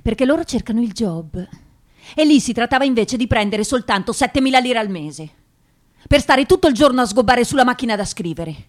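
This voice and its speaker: A woman's voice: a little high, lively intonation, natural voice. An actress playing an educated, disdainful young woman of a fallen aristocratic family, choosing her words precisely in a radio play. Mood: disdainful, bitter. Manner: measured, precise, deliberate wording. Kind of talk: theatrical radio drama monologue.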